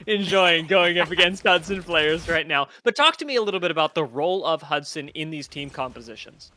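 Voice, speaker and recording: A male esports caster with a forceful, bright tone and a high voice. He analyses live play in a conversational way. There is faint game noise behind him. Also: expressive, fairly quick. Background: faint video game sounds.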